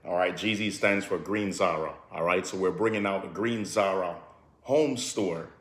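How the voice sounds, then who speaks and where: A middle-aged man with a Jamaican accent, pitching home improvement deals in a relaxed, chatty way, peppered with "all right". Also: moderate pace, echoey room. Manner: relaxed, repetitive "all right" fillers, sips a drink while talking. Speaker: home improvement company promoter. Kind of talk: casual promotional talk to camera.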